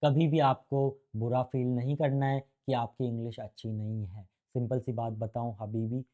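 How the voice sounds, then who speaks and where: Mellow-voiced Indian man teaching casually. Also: medium pitch, flat delivery, normal speed, dull audio. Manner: chatty, mixes English phrases into Hindi, addresses viewer directly. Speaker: freelancing course instructor. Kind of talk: casual tutorial talk to camera.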